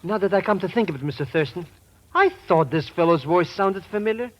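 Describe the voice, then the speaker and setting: Shady comic male sidekick, high swooping voice, florid pleading manner, muffled old radio recording. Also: lively intonation, a little fast. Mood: musing. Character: shady, wheedling petty profiteer, excitable male sidekick. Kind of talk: 1940s radio drama acting.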